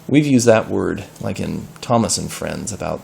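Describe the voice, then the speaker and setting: A man reading a story aloud in an animated style, pausing to explain words and ask questions. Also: deep voice, gravelly, husky.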